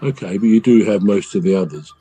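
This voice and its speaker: A man's voice: on the low side, a little fast, mellow, breathy edge, soft background music. A man co-hosting a podcast in casual chat, thinking aloud with lots of fillers and restarts. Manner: thoughtful, rambling, with frequent fillers and restarts. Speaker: podcast co-host.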